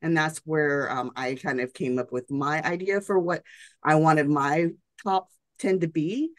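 A woman co-hosting a podcast, a little hoarse, animated and talkative. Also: low-pitched, measured pace, dry recording, clean studio sound. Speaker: podcast co-host. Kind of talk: casual podcast chat.

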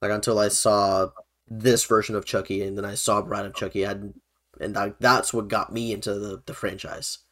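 A man's voice: on the low side, level tone, moderate pace, fairly bright tone. A young man hosting a podcast, chatting casually about films. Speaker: podcast host.

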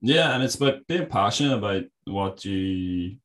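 Relaxed young man, podcast host. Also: lowish voice, normal speed. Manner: relaxed, laughs, self-described monotone. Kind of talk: casual podcast chat.

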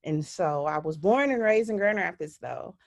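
Low-voiced woman. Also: expressive, moderate pace, creaky. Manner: earnest, reflective. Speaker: guest. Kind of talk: interview storytelling.

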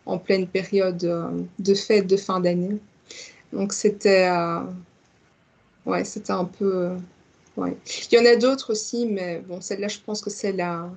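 A woman co-hosting a podcast, telling a story in a lively, chatty way with many fillers. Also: medium pitch. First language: multilingual, grew up in Congo and Belgium. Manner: animated storyteller, frequent fillers, laughs easily. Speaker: podcast co-host. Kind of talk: casual chat, telling an anecdote.

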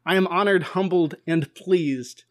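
A man's voice: sits high, measured pace, a bit bright. A man hosting a podcast, reading a scripted introduction in an earnest, formal way. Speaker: podcast host and interviewer. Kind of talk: scripted introduction read aloud.

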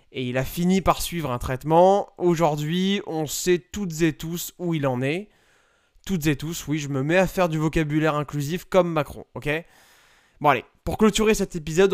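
A man's voice: a little high, smooth, no echo, clean studio sound. A man hosting a podcast, talking in a casual, jokey way with self-mocking asides. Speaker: podcast host. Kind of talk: casual scripted podcast monologue.

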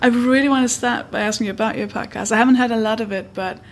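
A woman's voice: a little high, quick pace, slight background noise. A woman from Denmark with a Danish accent, speaking English as a second language, hosting a podcast interview in a chatty, encouraging way. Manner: chatty, encouraging, warm laughs. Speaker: podcast host. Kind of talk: conversational interview.

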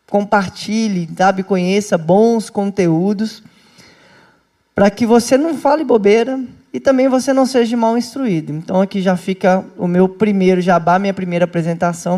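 Mellow-voiced pastor chatting to his flock. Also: high voice. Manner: lively, chatty, addresses listeners as brothers and sisters. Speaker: pastor and host. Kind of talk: casual talk to a small church group. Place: indoor home church group meeting.

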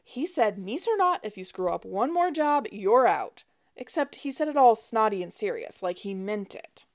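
A woman's voice: mid-range voice, animated, moderate pace, a little mellow, dry recording, clean studio sound, close to an everyday voice. A woman narrator voicing a young woman who fakes a gushing, pleading panic on a call. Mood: feigned panic.

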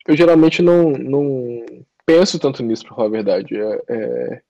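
Brief: young man, reflective podcast guest, dark mellow tone over a dull dry lo-fi line. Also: mid-range voice, sing-song, normal speed, no room sound. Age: young adult. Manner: reflective, hesitant with many restarts. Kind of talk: casual interview answers.

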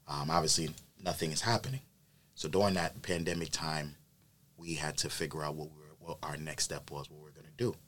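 A man who grew up in New York, an event planner chatting casually on a podcast, telling his story with plenty of ums and likes. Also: very deep voice. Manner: relaxed storytelling with many fillers.

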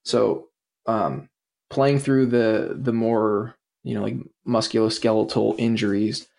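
A man's voice: on the low side, takes it slowly, vocal fry, a little mellow. A man in his late twenties, a former athlete, answering casually with lots of hesitant fillers. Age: 20s. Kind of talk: interview answer.

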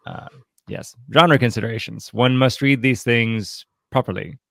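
A man hosting a podcast, talking through books casually in a halting, thoughtful, bookish way. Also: mid-range voice, gravelly, fairly bright tone, dry recording, clean studio sound. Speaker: podcast host. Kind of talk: casual literary discussion.

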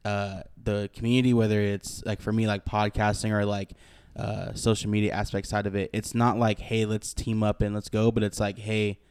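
A man's voice: on the low side, flat delivery, normal speed, hardly any pauses, darkish tone, clean tone, dry recording. A man hosting a podcast with a lighter voice, asking curious, joking questions with lots of fillers. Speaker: podcast host. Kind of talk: casual interview talk.

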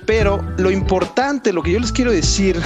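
High-voiced Mexican man hosting a podcast. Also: moderate pace. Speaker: podcast host. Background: background music.

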